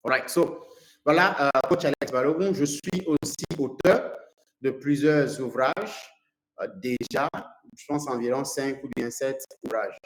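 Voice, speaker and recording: Nigerian man teaching a seminar, echoey room. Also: mid-range voice. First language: English. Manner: halting, with many fillers and repeated words. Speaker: business consultant and coach. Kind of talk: seminar talk to a live audience.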